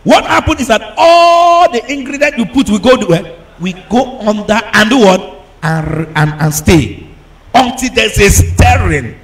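A man preaching a charismatic sermon, emphatic and exhorting, repeating phrases, firing questions at his congregation and at times breaking into shouts. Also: high-pitched, animated, measured pace, low-quality recording. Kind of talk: charismatic sermon with call and response.

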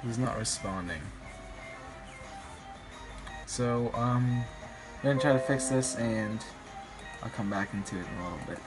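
A slightly raspy young man, a bit sick, commentating his online game battle in a rambling, hesitant way with many ums. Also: mid-range voice, measured pace, pauses often, poor audio. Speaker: gaming YouTuber. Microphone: phone filming a screen. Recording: music playing behind.

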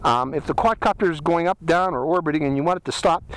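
A man's voice: a little high, fast talker, a bit bright, rough recording. A middle-aged man with a Pittsburgh accent, giving a chatty, step-by-step product review in a casual hands-on style outdoors. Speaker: drone reviewer and channel host. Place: outdoors in a windy desert canyon. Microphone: body-worn hat camera. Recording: outdoor action-camera audio with noise.

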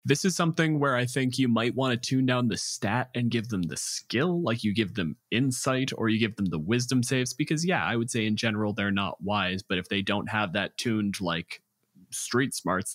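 A man talking casually as a podcast co-host, explaining game creatures in an animated way. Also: on the low side, moderate pace, no room sound, clean studio sound. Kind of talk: casual chat about tabletop game monsters.

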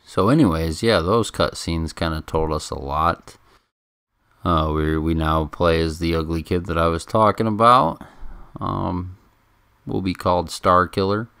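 A man giving casual, laid-back, somewhat halting commentary as he plays a video game. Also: low-pitched, steady tone, gravelly, fairly bright tone, smooth. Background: game music.

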